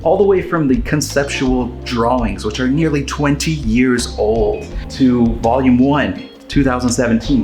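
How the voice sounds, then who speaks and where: A man's voice: mid-range voice, roomy sound. A man hosting a casual, rambling update vlog, talking informally to his viewers and often checking in with them. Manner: informal, conversational, addressing viewers with tag questions. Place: spacious garage workshop.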